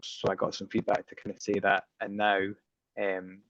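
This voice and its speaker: A man's voice: low-pitched, completely flat delivery, normal speed, a bit bright, dry recording. A man joining a video call to share a casual comment. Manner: casual, self-deprecating. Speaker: participant. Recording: muffled video call audio.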